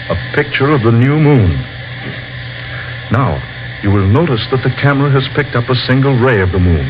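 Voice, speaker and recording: An actor playing a solemn male inventor who explains his discovery in a slow, lecturing way, in a 1940s radio drama. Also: medium pitch, lightly acted. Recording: lo-fi old radio recording with faint hiss.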